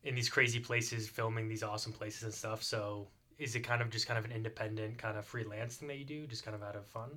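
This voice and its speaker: Young male co-host, bright and tense, flat quick delivery. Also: lowish voice. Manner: quick, flat, casual, many "kind of"s. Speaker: podcast co-host. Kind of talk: casual podcast chat.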